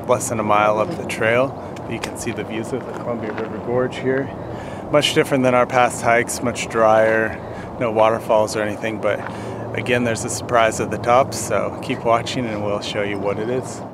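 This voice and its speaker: A man's voice: mid-range voice, little pitch movement, normal speed. A young man co-hosting a hiking vlog, chatting and joking on a steep outdoor trail. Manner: joking, upbeat. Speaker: co-host. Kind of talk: casual hiking vlog chat. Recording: noisy outdoor camera audio.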